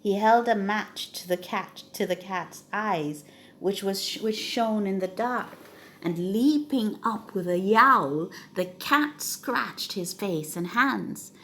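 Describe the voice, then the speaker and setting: A woman reading a children's story aloud with expressive, dramatic emphasis. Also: mid-range voice, takes it slowly, slight background noise.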